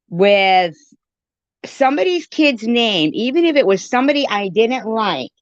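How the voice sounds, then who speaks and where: A woman's voice: a little high, no room sound, lo-fi sound. A woman giving an informal live-stream rant in an irritated, emphatic way, repeating phrases to drive her point home.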